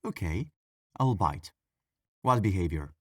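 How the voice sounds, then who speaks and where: Man acting as a mischievous library ghost, low-pitched, sassy, petulant, conversational, dry close recording, ASMR audio roleplay. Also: animated, takes it slowly, husky, dry recording, exaggerated voice. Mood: defensive. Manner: sassy, casual, dramatic complaining.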